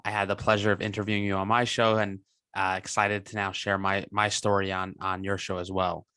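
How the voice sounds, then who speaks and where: A man's voice: fairly low pitch, flat delivery, a little fast, sharp tone, clear, resonant tone, no room sound. A man in his twenties, a guest on an interview podcast, talking earnestly over a video call with many restarts. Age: 20s. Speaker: guest entrepreneur.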